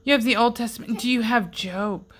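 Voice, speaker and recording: A woman's voice: a little high, fairly quick, fairly bright tone. A woman chatting casually at home as she gets a family reading started. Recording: faint background noise.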